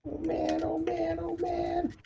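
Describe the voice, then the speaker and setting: A man's voice: very high voice, monotone, slow pace, gravelly, mellow, pitched above the performer's own voice, heavily acted. A man playing a jittery, exasperated space marine in a tabletop roleplaying game, exclaiming in alarm. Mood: nervous dread.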